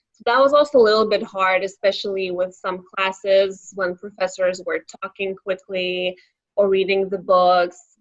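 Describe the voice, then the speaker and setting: A woman's voice: medium pitch, dull audio. A woman in her twenties from Lithuania with a Lithuanian accent, her first language, answering interview questions in an earnest, open way. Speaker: guest.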